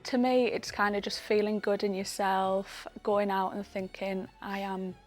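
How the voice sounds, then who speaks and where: A woman's voice: mid-range voice, level tone, unhurried, darkish tone, soft background music. A 26-year-old woman in a documentary interview, speaking bluntly and casually, with some swearing.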